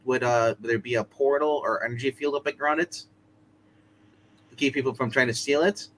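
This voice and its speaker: A man working as game master, narrating a tabletop roleplay story in a casual, halting way that circles back on itself. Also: medium pitch, a bit bright. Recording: clear background noise.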